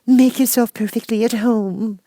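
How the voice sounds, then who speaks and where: A man voicing a sweet, polite young girl in a fairy-tale audiobook, gentle and courteous. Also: very high voice, steady tone, unhurried, no echo, voice pushed up, heavily acted. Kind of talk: audiobook character voice.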